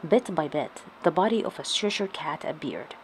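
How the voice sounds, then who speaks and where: A woman's voice: low voice, lively intonation, moderate pace, slight background noise. A woman narrating a children's storybook aloud as a read-along.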